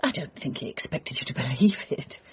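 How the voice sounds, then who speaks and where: Older widowed mum, down to earth but anxious, voice goes up and down loads, slightly muffled. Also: female voice, very deep voice, sing-song, moderate pace, breathy edge, full character voice. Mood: practical, dismissive. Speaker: actor in radio drama. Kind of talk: naturalistic radio drama dialogue. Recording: muffled, faint background noise.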